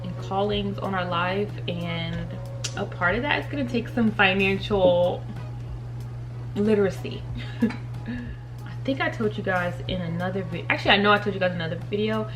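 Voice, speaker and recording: A woman of thirty with a mid-pitched voice, chatting casually through a makeup routine. She giggles often and talks to her viewers as y'all. Soft music plays faintly behind her. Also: moderate pace. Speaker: beauty and faith vlogger. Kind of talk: casual makeup tutorial chat.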